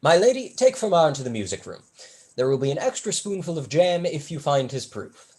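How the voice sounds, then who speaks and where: Here is a young actor performing a brazen, silver-tongued male tutor from a period stage comedy. The pitch swoops freely through long, elaborately formal sentences. The manner is arch, witty and smoothly flattering. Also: fairly quick, close to an everyday voice. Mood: brisk, dry. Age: young adult. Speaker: student actor.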